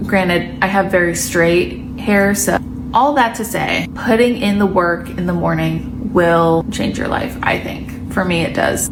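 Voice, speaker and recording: Chatty woman with clearly noisy background. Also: fairly low pitch. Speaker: lifestyle content creator. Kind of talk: casual talk to camera.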